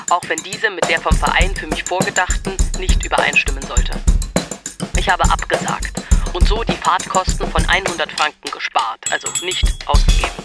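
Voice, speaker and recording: Over loud drum music, an actor as a thrifty man delivers a dry monologue in a high voice. He is formal and deadpan, as in a radio play. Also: lightly acted.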